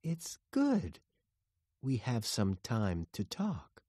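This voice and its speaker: An eerily calm captive villain, played by a male reader in an audiobook. He talks slowly and wistfully, never hurried. The sound is very dry. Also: mid-range voice, no room sound, voice pushed up.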